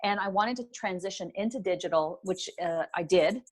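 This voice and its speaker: A middle-aged woman from Northern Ontario, with French as her first language, talks through an interview with a former broadcaster's polish. Her voice sits fairly low for a woman. Her delivery is clear and articulate. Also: moderate pace. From Northern Ontario, Canada. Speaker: guest, business owner. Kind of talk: interview answers.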